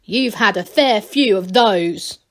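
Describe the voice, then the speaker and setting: Woman doing a scornful, forceful old granny gnome. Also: medium pitch, takes it slowly, close to an everyday voice. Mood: scornful, proud. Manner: scornful, sniffing, no-nonsense. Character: proud, blunt, stubborn old granny gnome healer.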